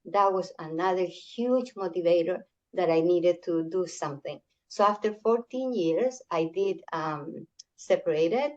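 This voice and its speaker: Older Chilean woman speaking haltingly. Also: fairly low pitch, a little mellow, lo-fi sound. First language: Spanish. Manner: halting, many fillers and restarts. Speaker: workshop speaker. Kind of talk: community talk on an online call.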